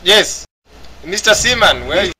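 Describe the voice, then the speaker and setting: A man's high, bright voice cuts through a gaming voice chat. He probes the other players with blunt, mocking questions. His tone stays teasing and provocative. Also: expressive, moderate pace, rough recording. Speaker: guild member on voice chat.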